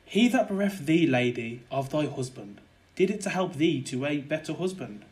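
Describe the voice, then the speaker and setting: Young guy playing a scheming killer nobleman sweet-talking in old verse drama, pleading, highish male voice. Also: very animated, normal speed, a little mellow. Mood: coaxing. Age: young adult. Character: scheming murderous nobleman, a smooth-tongued ardent suitor. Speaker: student actor. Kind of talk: Jacobean and Shakespearean verse audio drama.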